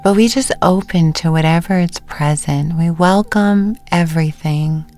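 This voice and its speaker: Slow, low-voiced woman guiding meditation. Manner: unhurried, gentle instruction with pauses. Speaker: meditation teacher. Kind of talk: guided meditation. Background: music.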